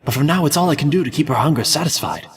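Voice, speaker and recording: An obsessive candy-maker scientist, played by a man, narrating his journal with theatrical excitement. His fairly high voice leaps up and down animatedly, and it sits a little off the mic. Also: rapid-fire, recorded from a distance, heavy background noise, close to an everyday voice. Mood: gleeful. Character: obsessive male candy maker recording experiments. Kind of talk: fanfiction audiobook reading.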